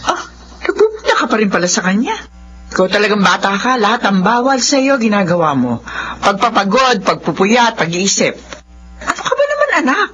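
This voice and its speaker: A woman's voice: mid-range voice, wildly swooping pitch, a little fast, fairly bright tone. An actress playing an overprotective, anguished middle-aged mother with a Filipino accent in a melodramatic radio drama. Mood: nagging concern. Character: anguished, overprotective mother of an ailing grown son. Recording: muffled radio recording, faint hum.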